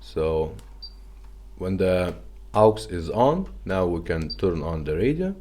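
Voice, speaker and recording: A man's voice: low voice, sing-song, slow pace, darkish tone. A man giving a casual, step-by-step product demonstration in an instructional way. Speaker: presenter demonstrating retrofitted car radios.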